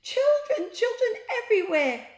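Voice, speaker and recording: An indignant, bossy shopkeeper from a children's storybook acted out by a woman. He is a grown man given to loud, outraged exclamations. The sound bounces around a roomy space. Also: very high-pitched, very animated, normal speed, voice pushed up. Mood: outraged panic. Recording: roomy echo.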